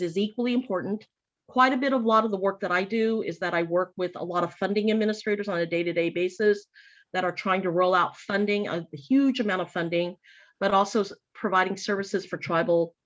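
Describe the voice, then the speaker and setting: Earnest woman with muffled lo-fi sound. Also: medium pitch. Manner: earnest, thoughtful, with frequent restarts. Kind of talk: panel discussion.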